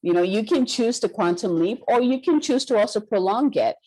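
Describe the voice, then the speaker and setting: A woman's voice: mid-range voice, lively intonation, a little fast, warm tone, rough recording. A woman from Ghana hosting a spiritual conversation, speaking in an earnest, affirming, emphatic way.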